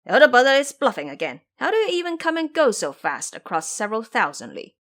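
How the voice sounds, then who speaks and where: A woman voicing a blunt, complaining male pig-man warrior monk in an audio drama, loud in manner. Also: on the high side, wildly swooping pitch, fast talker, voice pushed up, exaggerated voice. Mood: teasing, eager. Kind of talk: audio drama character acting.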